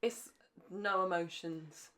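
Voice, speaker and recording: A woman with a slightly low voice talks as co-host of a casual fan podcast. She is agreeable and slangy, readily chiming in as part of a relaxed back-and-forth chat. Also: takes it slowly.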